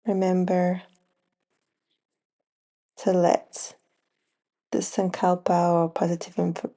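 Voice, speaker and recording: Slow, creaky-voiced woman guiding meditation. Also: lowish voice, flat delivery, dark tone. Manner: unhurried, short phrases with long pauses. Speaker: yoga nidra guide. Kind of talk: slow guided relaxation meditation.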